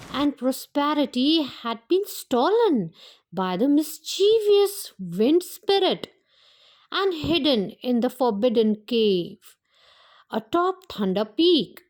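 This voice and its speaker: A woman storyteller narrating a scripted children's fairytale in a deliberate way, broken into short phrases. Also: on the high side, a little mellow, slight background noise.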